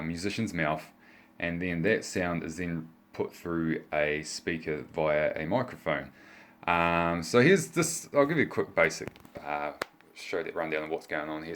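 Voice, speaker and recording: A man gives a casual, unscripted project demonstration in a hesitant, self-deprecating way. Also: sits low. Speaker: hobbyist video maker. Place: indoors at a home workbench.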